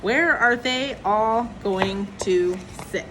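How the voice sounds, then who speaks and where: A woman's voice: mid-range voice, slow, noisy background, lightly acted. A woman voicing a flustered, exasperated wife in a storybook, fretful and emphatic. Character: flustered, exasperated wife worried about seating guests.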